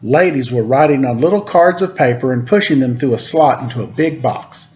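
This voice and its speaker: A man's voice: mid-range voice, a little fast, a little mellow, lo-fi sound. A man reading a storybook aloud like a teacher, with casual asides.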